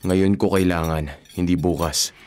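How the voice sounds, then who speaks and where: An actor playing a hard-up, skeptical younger brother who grumbles in exasperation, in a radio drama. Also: male voice, sits low, steady tone, normal speed, darkish tone, smooth, lightly acted. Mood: exasperated.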